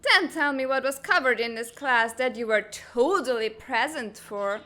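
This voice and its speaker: A woman voicing a brash, hot-tempered teenage girl in a comic dub, blustery, bossy and quick to get defensive. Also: a little high, animated, bright, forceful tone. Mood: menacing, needling. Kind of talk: animated comic-dub voice acting.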